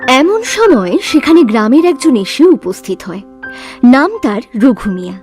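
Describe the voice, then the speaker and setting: Female storybook narrator, high lively voice. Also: a little slow, soft background music. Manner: plain expressive storytelling.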